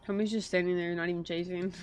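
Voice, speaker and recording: A woman's voice: on the low side, steady tone, a little fast, fairly bright tone. A woman game streamer chatting casually as she reacts during a livestream. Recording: faint game music behind. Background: game music.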